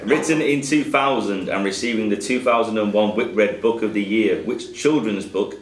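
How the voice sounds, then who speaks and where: Low-voiced man reading quiz questions. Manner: measured reading, stumbles over hard names with a laugh. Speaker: quizmaster. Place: pub function room. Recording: echoey room.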